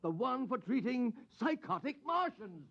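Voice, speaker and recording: An actor playing an exasperated, bossy boss snapping impatiently at an underling in a 1950s radio drama. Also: male voice, extremely high pitch, very animated, unhurried, heavily acted. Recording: dull vintage radio recording.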